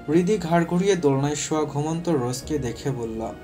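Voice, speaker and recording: Casting: male narrator for romantic fiction, fairly high pitch, dark mellow timbre, scripted storytelling. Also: fairly quick, soft background music.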